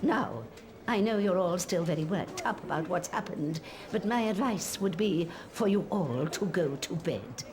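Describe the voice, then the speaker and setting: An actress playing a stern but caring senior schoolteacher in a video game, formal and authoritative. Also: female voice, lowish voice, lively intonation, measured pace. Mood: firm, concerned. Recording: noisy, with room ambience behind.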